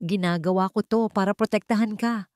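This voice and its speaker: A man's voice: high voice, steady tone, a little fast, dry recording, studio quality, pitched above the performer's own voice, natural voice. A woman voicing an anguished, guilty young man confessing in a pleading, breaking voice in an audio love drama. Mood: worried, defensive.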